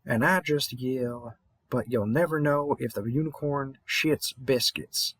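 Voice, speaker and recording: A man's voice: medium pitch, takes it slowly, fairly bright tone, husky, dry recording. Man acting a rambling, Southern-accented veteran vampire hunter. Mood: amused, reminiscing. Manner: rambling, slightly mumbled, informal and encouraging. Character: experienced, rambling, kindly male vampire hunter mentor, big. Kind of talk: intimate scripted audio roleplay monologue.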